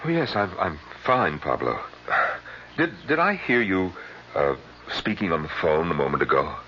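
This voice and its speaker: Anguished husband, wildly swinging voice. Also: male voice, deep voice, very animated, pushed and bright, a little hiss or hum, exaggerated voice. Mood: shaken, faltering. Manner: halting, stammering, melodramatic. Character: anguished husband narrating his unravelling sanity, dazed and frantic. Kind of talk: old-time radio drama acting. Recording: muffled old radio broadcast, music underneath.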